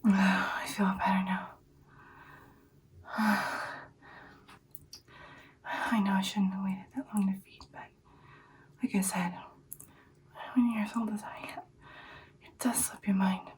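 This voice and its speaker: A woman playing an ancient, caring female vampire in a whispered ASMR roleplay, speaking in soft, breathy, hesitant phrases. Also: mid-range voice, little pitch movement, takes it slowly, long pauses, distant mic, slight background noise, close to an everyday voice. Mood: relieved, revived.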